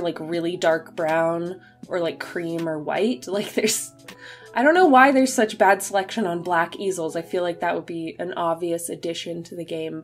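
A woman who is a bit sick, narrating a game build video in a casual, chatty, self-deprecating way. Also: fairly low pitch. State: sick, voice slightly off. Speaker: gaming video creator, narrator. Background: background music under voice-over.

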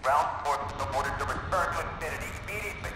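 A man's voice: fairly high pitch, fast, pushed and bright, big-room sound, soft background music. An actor playing a curt, exasperated male military commander in a video game, terse and demanding.

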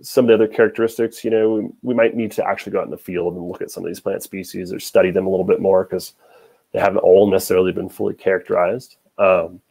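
Low-voiced young American man lecturing. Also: steady tone, fast talker, gravelly, dark tone, breathy edge. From Nevada. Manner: explanatory, poses questions and answers them. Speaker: hydrologist giving a lecture. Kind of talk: informal slide lecture. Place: indoor room, video call.

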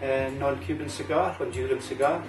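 A middle-aged man with an Aberdeen Scottish accent, hosting a relaxed cigar review chat at a home bar and using local words like "wee" and "aye". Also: medium pitch, a little fast. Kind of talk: casual chat. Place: home bar room, indoors. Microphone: camera mic at table distance. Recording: roomy, distant camera mic, faint music.

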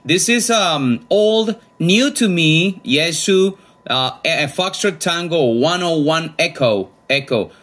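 A man's voice: fairly high pitch, lively intonation, slow pace, hardly any pauses, a bit bright. Chatty man in his forties on ham radio. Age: 40s. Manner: friendly, chatty, enthusiastic about old radios. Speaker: amateur radio operator. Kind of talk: casual ham radio chat.

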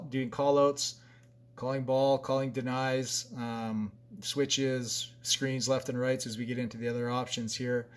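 A man, a basketball coach, talking through a practice drill at a whiteboard in a plain, conversational way with frequent fillers and restarts. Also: mid-range voice. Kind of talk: explaining a drill over a whiteboard diagram.

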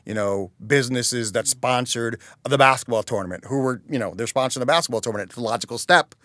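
Middle-aged male guest, steady mid voice, earnest, close dry studio recording. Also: medium pitch, fast talker, no echo. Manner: earnest, explanatory. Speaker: chamber of commerce president, guest. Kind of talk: casual interview talk. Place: small dark studio with couches. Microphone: studio mic on stand.

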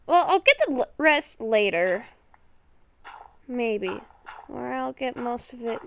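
A woman giving casual gameplay commentary, talking to herself in short, halting phrases. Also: fairly high pitch, lively intonation, vocal fry, fairly bright tone, slight background noise. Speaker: gamer recording a roleplay series.

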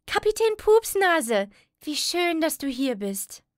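A woman's voice: high voice, big pitch swings, slow pace, no echo, studio quality, heavily acted. A woman voicing an earnest, friendly little cloud with a childlike, eager manner in a children's audio play. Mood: happy.

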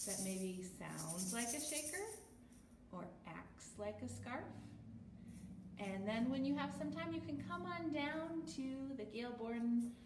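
A young American woman speaking as a storytime host for toddlers, in an animated, sing-song, exaggerated child-directed way. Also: on the high side. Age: young adult. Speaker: children's librarian hosting storytime. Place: small bare room indoors at home. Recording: roomy, distant mic, background noise.

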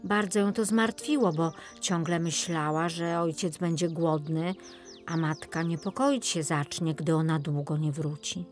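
A woman's voice: deep voice, a little slow, soft background music. A woman reading a children's fairy tale as a scripted audiobook narration.

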